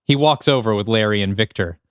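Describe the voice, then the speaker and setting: A man reading scripted screenplay narration for an audio drama in an animated, descriptive manner. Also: fairly low pitch, fairly quick, smooth, no echo, studio quality.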